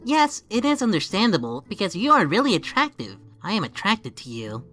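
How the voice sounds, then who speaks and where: An earnest, awkward young teenage boy voiced by a woman in a webcomic fan dub. The voice is bright and forceful with very animated pitch, informal and hesitant, quick to get frustrated. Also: faint music behind. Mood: playful.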